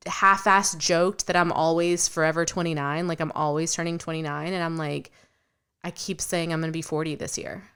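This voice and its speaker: A woman's voice: low-pitched, fairly even intonation, moderate pace, a bit bright, clean tone. A woman in her late thirties co-hosting a podcast, chatting casually, swearing freely and retelling stories with animation. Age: late 30s. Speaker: podcast co-host. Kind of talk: casual chat.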